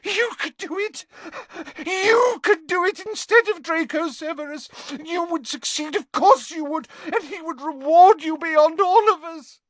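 A man's voice: animated, slow, dry recording, heavily acted. A man voicing a desperate, tearful aristocratic mother begging for her son in an audiobook reading. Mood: frantic begging. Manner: tearful, begging, high strained pleading.

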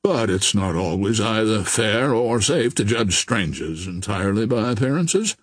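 A man voicing a fair-minded, dignified older gentleman in an audiobook, measured and formal. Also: on the low side, lively intonation, breathy edge, no room sound, studio quality. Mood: calm, reassuring.

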